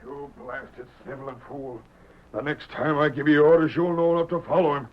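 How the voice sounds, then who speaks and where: An actor playing a bullying, hot-tempered ranch boss villain in an old radio western, blustering and barking. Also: male voice, on the high side, steady tone, normal speed, lightly acted. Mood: angry. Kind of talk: 1940s radio western acting. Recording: dull old radio recording with hiss.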